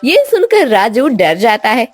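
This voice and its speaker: Slow-paced woman storyteller over music. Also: fairly high pitch, big pitch swings, breathy edge. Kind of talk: scripted children's story narration.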